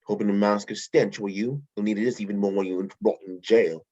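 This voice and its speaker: A man's voice: lowish voice, fairly even intonation, a little fast, warm tone. A man reading a film role aloud at a table read, in a sneering tone. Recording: dull lo-fi home mic.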